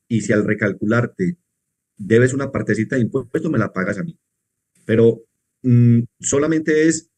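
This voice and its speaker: A man working as a tax advisor, explaining rules in a measured way and answering his own questions. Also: mid-range voice, a little mellow. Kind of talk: explanatory conversation.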